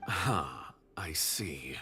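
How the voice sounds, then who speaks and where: Low-voiced, wary scheming agent. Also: male voice, expressive, very slow, bright, forceful tone, husky, soft background music, full character voice. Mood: curt. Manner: guarded, formal. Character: cold, wary male secret agent, curt and scheming. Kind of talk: video game voice acting.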